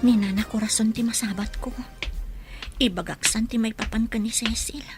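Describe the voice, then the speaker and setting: Anxious high-pitched young woman played by an actress. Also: fairly even intonation, moderate pace, over music, natural voice. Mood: worried. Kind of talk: Ilocano radio drama acting.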